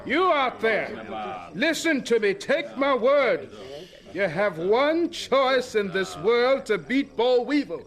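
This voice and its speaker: A high-voiced, fervent professor. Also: male voice, sing-song, a bit bright, exaggerated voice. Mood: emphatic, insistent. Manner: emphatic, deliberate, pleading. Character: earnest, fervent agricultural professor urging farmers on. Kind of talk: old radio drama acting.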